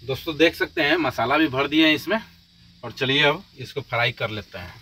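A man's voice: medium pitch, fairly quick, bright, forceful tone. Man vlogging casually over faint vehicle noise. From India. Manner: addresses viewers as friends, step-by-step commentary. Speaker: truck driver vlogger. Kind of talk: casual cooking vlog narration.

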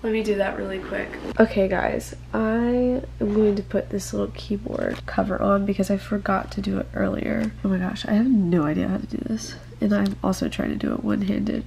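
A young woman in college vlogging casually from a small dorm room, raspy and congested as her voice fades, gushing with lots of fillers. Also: medium pitch, fairly even intonation, a little fast, few pauses, a little mellow, slight background noise. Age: young adult. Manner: bubbly, gushing, filler-heavy. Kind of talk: casual unboxing vlog.

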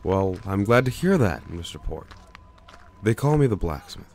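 A man playing a calm, cryptic older monk-like sage in a sci-fi audio drama, measured, knowing and gentle. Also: low-pitched. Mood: knowing, genial.

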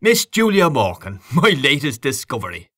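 Jovial gentleman played by a male reader, showman-like and self-amused, lively swooping delivery, storybook dialogue. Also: mid-range voice, moderate pace, voice pushed up, heavily acted. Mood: showy, amused. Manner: showman-like announcing. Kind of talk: acted audiobook dialogue.

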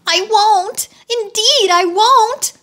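A woman putting on a whiny, high-voiced wheeler creature. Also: slow, sharp tone, heavily acted. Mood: eager. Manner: whiny, tearful, pleading. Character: whining, sobbing male wheeler creature, boastful but cowardly.

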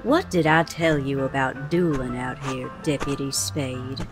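A woman's voice: extremely low pitch, measured pace, over music. A woman playing a fiery, no-nonsense saloon owner in a Western audio drama, scolding in feisty frontier speech. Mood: indignant.

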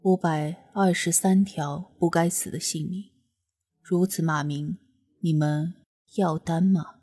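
A woman voicing a calm, composed young male immortal with a husky voice, in an audio drama. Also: on the low side, slow pace. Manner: level, composed.

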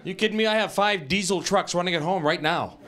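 High-pitched male stand-up comic in his forties. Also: fast, a bit bright. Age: 40s. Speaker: stand-up comedian. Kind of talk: stand-up comedy before a live audience. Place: small TV studio stage with audience. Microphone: handheld microphone, later on a stand. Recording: live studio audience laughing.

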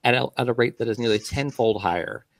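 A man speaking as a healthcare guest on a panel podcast, measured and hedging with fillers. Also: medium pitch, gravelly, dry recording.

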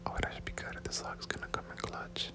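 A gamer whispering casual, slangy ASMR commentary over gameplay, often repeating words, close to the microphone. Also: fast talker. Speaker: gaming video host.

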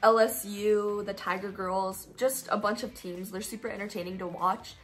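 A teenage girl from Colorado chats through viewer questions in a casual vlog. Her voice sits at an ordinary pitch, and her talk is upbeat and full of fillers. Soft music plays faintly behind her. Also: steady tone, normal speed, poor audio. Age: teens. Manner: chatty, upbeat, rambling with fillers. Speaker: YouTuber answering viewer questions.

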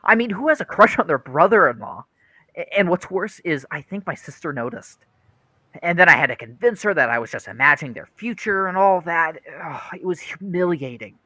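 A man's voice: on the high side, animated, a little fast, sharp tone, no room sound. An actor playing an earnest, troubled young man in a radio play, hesitant and confessional. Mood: confessional, embarrassed.

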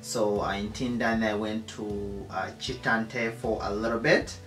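A man in his thirties with a Ugandan accent talks casually from a couch in a living room. He speaks in a halting way, full of fillers and restarts. The room sounds echoey, with faint music underneath. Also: on the low side, steady tone, fairly bright tone. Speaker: co-host, husband. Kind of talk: casual chat.

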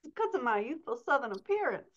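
A woman's voice: high-pitched, sing-song, fast. Woman, forties, podcast co-host, casual teasing banter, slightly dull video-call audio. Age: 40s. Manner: teasing, playful. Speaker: podcast co-host, writing coach.

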